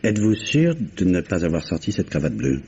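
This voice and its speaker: A man's voice: sits low, sing-song, a little fast, warm tone. An actor playing a stern, insistent policeman with a curt, controlled, probing manner, in old French radio mystery style. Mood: cold, probing. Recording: dull, noisy vintage radio recording.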